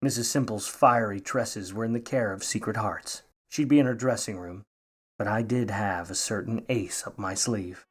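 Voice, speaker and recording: An actor playing a grudging, wry, world-weary 1950s Hollywood private eye, narrating in old-time radio noir style. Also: male voice, on the low side, moderate pace, husky. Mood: musing, wry.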